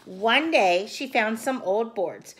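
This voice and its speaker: A woman in her thirties reading a picture book aloud to children like a teacher, in an expressive way. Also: a little high, slow, low-quality recording. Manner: expressive, addressing young children. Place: small room at home, indoors.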